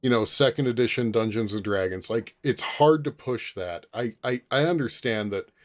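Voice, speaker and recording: A man's voice: medium pitch, moderate pace. A middle-aged man in casual podcast conversation, dry and wry when he chimes in. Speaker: podcast co-host. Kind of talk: casual panel chat.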